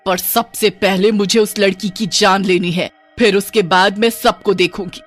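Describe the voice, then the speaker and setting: A woman's voice: medium pitch, steady tone, moderate pace, natural voice. Woman acting as witch bride, menacing, vengeful, power-hungry, declaiming, horror storybook, background music.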